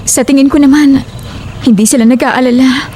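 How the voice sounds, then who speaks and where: Woman playing a high-voiced, weary young daughter. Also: fairly even intonation, moderate pace, warm tone, a little hiss or hum, close to an everyday voice. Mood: fed up. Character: troubled, weary, determined rich young daughter. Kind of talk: audio drama dialogue.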